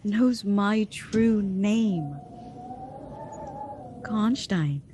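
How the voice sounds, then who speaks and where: A woman's voice: medium pitch, animated, extremely slow, noisy background. A woman playing a passionate, defiant and seductive vampire in a radio drama, with an intense theatrical delivery. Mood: fervent, dark.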